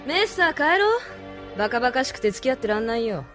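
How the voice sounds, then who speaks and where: A woman's voice: high-pitched, big pitch swings, fast talker, a bit bright, soft background music. An actress playing a tough-talking, sneering but loyal young street punk woman in a Japanese anime audio drama. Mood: scornful, angry. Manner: sneering, rough-spoken.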